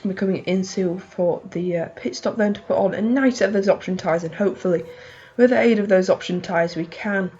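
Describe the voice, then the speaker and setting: Hoarse woman commentating a racing game. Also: medium pitch, dull audio, a little hiss or hum. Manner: rambling, excitable, many fillers. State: hoarse, losing voice. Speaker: gaming channel host.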